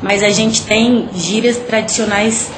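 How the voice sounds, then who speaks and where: A woman's voice: medium pitch, takes it slowly. Brief: easygoing woman, 30s, interviewed in an echoey, noisy place. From Santa Teresa, Espírito Santo, Brazil. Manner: relaxed, laughs easily. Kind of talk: casual interview answers. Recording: echoey with background ambience.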